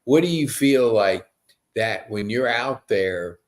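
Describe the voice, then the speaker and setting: A man's voice: lowish voice, animated, slow pace. A middle-aged man hosting a casual podcast interview, effusive and rambling, with stop-and-start questions. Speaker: podcast host.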